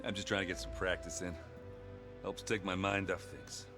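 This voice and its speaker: An actor playing a good-natured, ale-loving rogue in a video game, bluff and wry, with a hesitant, rambling way of talking. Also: male voice, deep voice, steady tone, normal speed, music in the background. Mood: easygoing.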